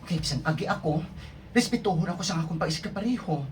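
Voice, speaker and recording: Woman practicing radio drama lines, lowish lively voice, bit far from mic w faint noise. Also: fast. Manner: repeats lines, stumbles and restarts. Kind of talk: rehearsing radio drama lines, with casual chat.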